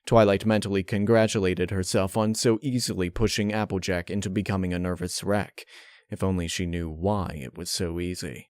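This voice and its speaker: A man reading a dark fan fiction story as narrator, in a measured, dramatic way. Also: low-pitched, steady tone, dry recording, clean studio sound. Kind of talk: dark audiobook-style narration.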